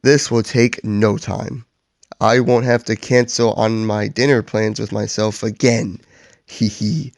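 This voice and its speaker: A man's voice: on the low side, little pitch movement, slow pace, creaky, dry recording, studio quality. Man putting on a huffy, boastful green monster. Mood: smug. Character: grumpy, boastful, indignant big green hairy male monster.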